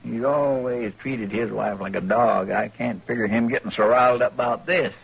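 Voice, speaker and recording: An actor playing a folksy, respectful deputy sidekick in a radio western, with a rural American drawl. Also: male voice, mid-range voice, fairly even intonation, normal speed, vocal fry, slightly rough. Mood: musing. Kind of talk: old-time radio western acting. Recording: dull, faintly hissy old radio recording.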